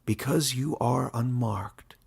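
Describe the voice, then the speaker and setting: A wise old warden of the forest in a fantasy storybook, played by a man. His voice is gravelly and rough-edged, and he talks softly and with deliberate care. He comes across as gentle and knowing. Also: medium pitch, full character voice. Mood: earnest, firm. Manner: soft-spoken, measured, deliberate.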